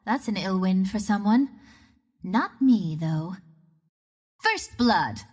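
Video game hero: a self-assured young woman archer of the wind, teasing and theatrical, with lively swooping intonation. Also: mid-range voice, slow pace, breathy edge. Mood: cocky, teasing. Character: confident, wry young female archer ranger of the wind.

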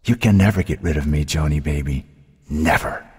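Man voicing a cruel, taunting ghostly sister, pitched up. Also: lively intonation, quick pace, a little mellow, in a large hall, natural voice. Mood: taunting, menacing. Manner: mocking, scornful, belittling. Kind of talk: audiobook character voice.

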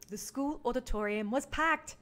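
A woman reading a children's picture book aloud as a teaching artist, with expressive emphasis for young listeners. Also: sits high, moderate pace. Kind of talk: animated children's storybook read-along.

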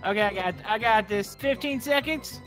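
A man's voice: high voice, normal speed, fairly bright tone, faint music behind. A young man chatting casually as a co-player in a gameplay video.

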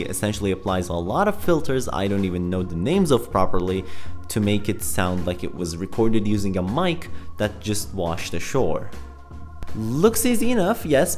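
A man's voice: on the low side, a little mellow. A young man hosting a scripted vlog about his coding project, talking into a close handheld mic in a self-deprecating, dryly joking way. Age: young adult. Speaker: video creator, host. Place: home room, indoors, mostly. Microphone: handheld microphone held close.

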